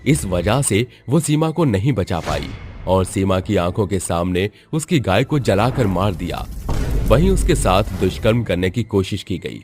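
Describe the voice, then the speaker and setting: A man's voice: sits low, sing-song, measured pace, background noise. A man reading scripted narration for a horror story.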